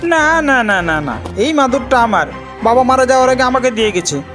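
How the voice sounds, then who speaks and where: A man's voice: very high-pitched, animated, moderate pace, music in the background, pitched above the performer's own voice. A man voicing a quarrelling, possessive village brother in a fairy-tale cartoon, with a childish, insistent manner. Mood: quarrelsome. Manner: bickering, insistent, repetitive.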